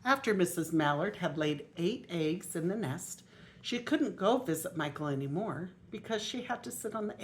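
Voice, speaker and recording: An older woman, a grandmother, reading a children's picture book aloud in a deliberate way with emphasized story words. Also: lowish voice. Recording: faint noise on homemade video.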